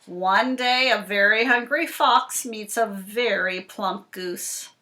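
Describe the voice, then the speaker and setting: A woman speaks as a school librarian to young children. Her delivery is unhurried and explanatory, with pauses and stressed key words, in a bright, forceful medium-pitched voice. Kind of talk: read-aloud talk for young children.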